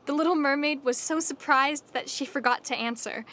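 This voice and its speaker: A woman's voice: high-pitched, normal speed, fairly bright tone. A woman narrator reading a scripted fairy tale for an audio drama. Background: music.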